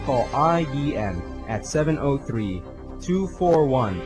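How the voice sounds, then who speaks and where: A man's voice: mid-range voice, big pitch swings, takes it slowly, music in the background. A man reading a persuasive, upbeat radio advertisement. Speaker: announcer.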